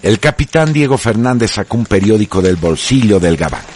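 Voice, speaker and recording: A man's voice: on the low side, lively intonation, a little fast. A man reading scripted dramatic narration for a radio serial in a grave, formal manner.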